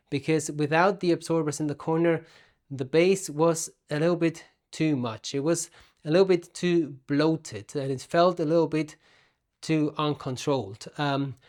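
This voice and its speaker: A man giving an explanatory product review as an audio gear reviewer, phrasing things deliberately and emphatically with pauses. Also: a little high. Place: room at home.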